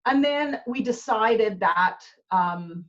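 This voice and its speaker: A woman's voice: a little high, expressive, slow pace. A woman with a Northern Irish accent, a business coach chatting expressively on a podcast. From Northern Ireland. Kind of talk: casual podcast chat. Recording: muffled lo-fi audio.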